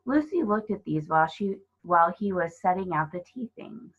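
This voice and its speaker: A woman narrating a children's storybook as a reader, in an expressive scripted style. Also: on the low side, moderate pace, breathy edge, muffled recording.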